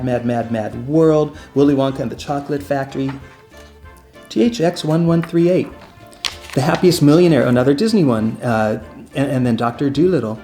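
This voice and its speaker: A man's voice: mid-range voice, dark tone. A man hosting a show, talking casually and informally to viewers in a rambling, conversational way. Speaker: host.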